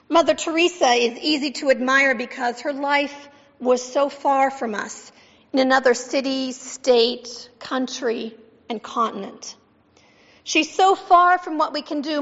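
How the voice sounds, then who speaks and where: A woman's voice: sits high, fairly bright tone. Woman pastor preaching in a reverberant church. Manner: measured, emphatic repetition, asks the congregation questions. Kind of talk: sermon with scripture reading. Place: church sanctuary. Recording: dull sound with long church reverb.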